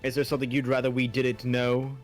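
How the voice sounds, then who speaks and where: A man's voice: mid-range voice, monotone, fairly quick, faint music behind, natural voice. A man voicing a stern, cutting prosecutor in a video game fan dub, speaking formally and dryly.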